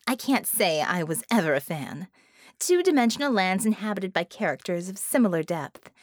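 A woman's voice: medium pitch, very animated, moderate pace, dry recording, studio quality. A woman voicing a haughty, cunning, bored villainess in a cage, with taunting, formal, theatrical phrasing, for an audiobook. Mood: haughty, scornful.

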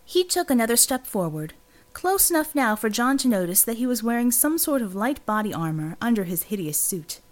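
A woman's voice: on the high side, sing-song, fairly quick, hardly any pauses. A woman reading scripted fiction as an audiobook narrator.

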